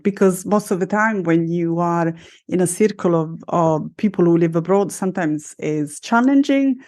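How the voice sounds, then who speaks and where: Low-voiced woman hosting a podcast. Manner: warm, measured, with fillers and self-corrections. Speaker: podcast host and life coach. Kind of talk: podcast interview.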